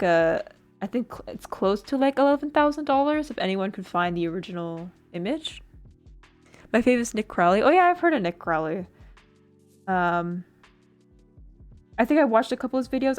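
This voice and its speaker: A woman streamer chatting casually while building a model kit, rambling with lots of fillers and little laughs. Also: medium pitch. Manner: rambling, filler-heavy, giggly, self-deprecating.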